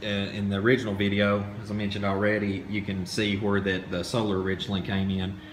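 A man's voice: on the low side, monotone, fast talker. A young man hosting an informal video tour as a contractor, talking in a rambling, folksy way. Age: young adult. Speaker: off-grid solar contractor, video host. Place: hilltop job site, in and out of doors. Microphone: phone held by the speaker. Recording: noisy handheld recording with wind.

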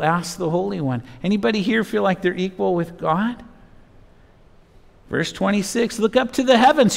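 A middle-aged man preaches a verse-by-verse sermon. His voice sits very high for a man, and he delivers scripture and rhetorical points emphatically, in short phrases. The church hall adds a long reverb. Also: fairly bright tone. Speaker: pastor. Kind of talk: expository sermon with Bible reading. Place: church sanctuary, at a pulpit.

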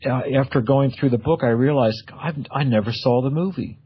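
A man hosting a casual radio interview, talking in a rambling, halting way and often correcting himself. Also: medium pitch, slightly rough. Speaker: radio show host. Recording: slightly dull, lo-fi audio.

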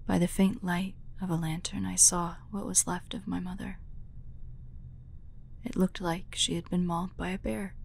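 A forlorn young huntress, played by a woman in a fantasy audio drama. Her voice is slightly low, soft and breathy. She speaks very slowly and haltingly, haunted by grief and set on revenge. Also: heavily acted. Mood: haunted, grieving. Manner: slow, halting, haunted storytelling. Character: forlorn young demon hunter woman, grieving and vengeful. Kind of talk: dramatic audio roleplay monologue.

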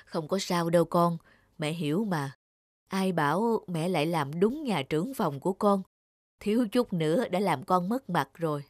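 A woman voicing a gentle, forgiving retired mother who reassures patiently in a cartoon drama. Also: lowish voice, moderate pace, dry recording, studio quality, lightly acted. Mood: resigned, forgiving. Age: older.

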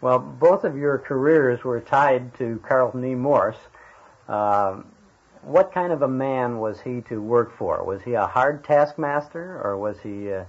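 Husky man interviewing, lo-fi recording. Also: mid-range voice, a little slow. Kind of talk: casual interview.

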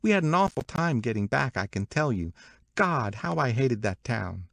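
A man voicing a gossipy, worldly young woman in an audiobook, confiding and excitable in manner. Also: mid-range voice, moderate pace, creaky, dry recording, pitched above the performer's own voice, full character voice. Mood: animated gossip. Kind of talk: audiobook character dialogue.

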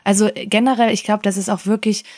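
A woman chats casually as a podcast co-host. Her voice sits at a middling pitch and is recorded very dry, with hardly any room sound. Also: level tone, quick pace, darkish tone, dry recording.

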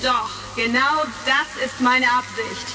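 A proud female galactic senator delivers formal, accusing oratory in a high voice. The sci-fi drama sounds slightly distant under vintage crackle. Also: fairly even intonation, slow pace. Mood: defiant. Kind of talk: retro sci-fi radio drama acting. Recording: crackly vintage vinyl-style recording, distant.

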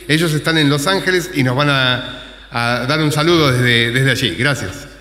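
A man's voice: medium pitch, normal speed, pushed and bright. A middle-aged man, moderating and welcoming people from a conference podium. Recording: echoey, reverberant hall.

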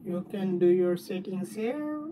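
Slow-talking woman giving app instructions. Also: on the low side, lively intonation. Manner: unhurried step-by-step instructions with small self-corrections. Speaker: tutorial presenter. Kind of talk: casual screen-recorded app tutorial. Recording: lo-fi with clear background noise.

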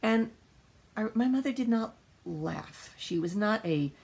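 An older woman around her sixties chatting casually and emphatically, telling stories with a husky, gravelly voice. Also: medium pitch, a little slow. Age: older, around 60s. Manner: animated, emphatic storyteller. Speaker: co-host, mother discussing books. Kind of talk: casual rambling chat. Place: small book-lined room indoors.

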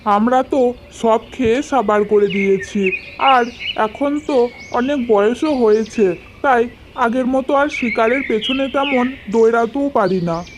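Lady doing old tiger in a kids cartoon, friendly but dim and starving, highish voice, noisy track w birds. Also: unhurried, lightly acted. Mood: weary, complaining. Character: foolish old hungry tiger, friendly to his crocodile friend. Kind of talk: children's cartoon story dialogue. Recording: clearly noisy, birdsong behind. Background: birdsong and nature sounds.

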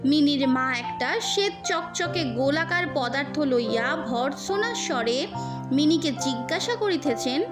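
A woman narrating a literary story in a measured, expressive audiobook reading. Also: high voice. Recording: music bed under the voice. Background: background music.

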